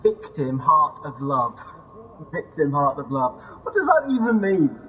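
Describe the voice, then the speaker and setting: A man's voice: a little high, sing-song, unhurried, a bit bright. An actor in an audio drama playing an insistent, teasing man who prods like a nagging inner voice. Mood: musing, mocking. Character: needling male inner voice dredging up memories. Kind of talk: audio drama acting, poetic second-person narration. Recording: dull sound with long hall reverb.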